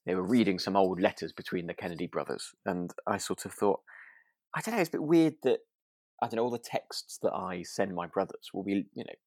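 A man's voice: low-pitched, fairly quick, a little mellow, no echo. A man co-hosting a podcast, chatting casually in a tentative, meandering, thoughtful way. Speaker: podcast host. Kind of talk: casual chat reviewing a radio drama.

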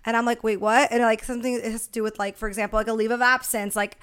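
Higher-voiced young woman chatting candidly. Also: flat delivery, quick pace, a bit bright, clear, resonant tone. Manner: candid, slangy, casually sweary. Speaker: podcast co-host. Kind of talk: casual podcast chat.

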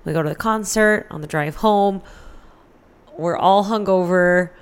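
A woman's voice: on the low side, measured pace, clean tone. An American woman chatting casually as an interview guest, candid and informal, swearing freely as she tells personal stories.

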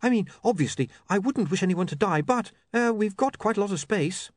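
A man's voice: sits high, expressive, a little fast, no echo, studio quality, pitched above the performer's own voice. A man voicing a nervous, prim young woman in an office, polite and a little hesitant. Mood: flustered interest.

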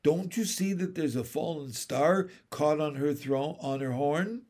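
A man's voice: fairly high pitch, measured pace, a little mellow. A man voicing a pompous, impatient and self-satisfied storybook king with a magnificent, commanding manner. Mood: excited, exasperated. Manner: magnificent, commanding, easily irritated.

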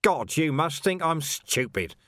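Gruff weary cop for audiobook; male reader doing a curt, growling police chief, dry booth. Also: fairly high pitch, very animated, a little slow, fairly bright tone, no room sound, voice pushed up. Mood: stern. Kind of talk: audiobook character voice.

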